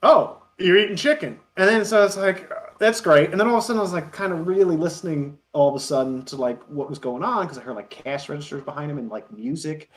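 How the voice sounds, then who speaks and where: A man with a fairly high voice chats as a guest on an interview show. He tells stories informally and with animation, slipping into quoted back-and-forth as he goes. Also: quick pace, few pauses. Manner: animated storyteller who acts out quoted dialogue. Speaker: interview guest, web parody creator. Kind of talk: casual anecdotal chat.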